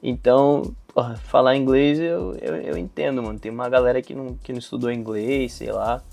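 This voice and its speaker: Young brazilian guy ranting casually, lots of swearing, warm mellow voice, faint music underneath. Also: mid-range voice, brisk, gravelly. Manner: slangy, profane, animated venting. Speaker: aspiring actor vlogger. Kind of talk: casual talk to camera.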